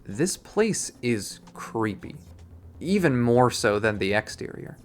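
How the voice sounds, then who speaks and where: A man reading a visual novel aloud in expressive first-person narration. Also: mid-range voice, slow pace, slight background noise. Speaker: visual novel readthrough player.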